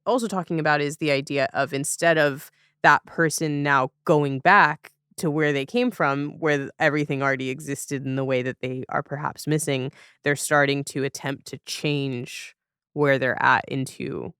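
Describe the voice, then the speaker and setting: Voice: mid-range voice, fairly even intonation, normal speed, sharp tone, clear, resonant tone, no room sound, clean studio sound. A podcast host interviewing casually, thinking aloud and rephrasing questions loosely along the way.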